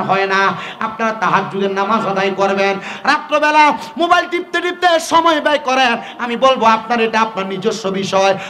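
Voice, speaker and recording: A man's voice: sits high, bright, forceful tone. A man delivering an Islamic sermon, exhorting his listeners directly and prompting them to respond. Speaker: Islamic preacher. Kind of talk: waz sermon to a gathering.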